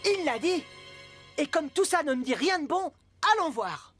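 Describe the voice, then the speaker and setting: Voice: high voice, sing-song, normal speed. A performer voicing a cocky young male hedgehog hero in a French-dubbed cartoon, breezy and self-assured. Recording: loud music under the voice.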